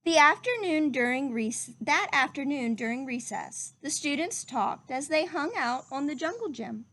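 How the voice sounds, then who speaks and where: A woman's voice: high-pitched, expressive, moderate pace, bright, forceful tone. A woman reading a picture book aloud to young children like a teacher, speaking to them directly in simple phrases.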